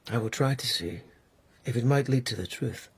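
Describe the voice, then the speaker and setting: An actor playing a patient, courteous lieutenant investigating a crime in imperial Japan, restrained and formal, in a radio drama. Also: male voice, mid-range voice, normal speed, breathy edge. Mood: patient, probing.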